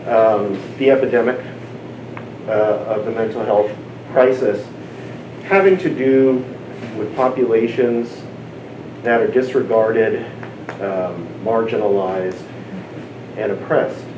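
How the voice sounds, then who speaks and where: A man speaking for an advocacy group at a community panel, earnest and halting, with lots of fillers and restarts. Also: fairly low pitch. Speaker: civil liberties group representative. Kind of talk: panel moderation remarks. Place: event room at a community panel. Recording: echoey, distant, noisy.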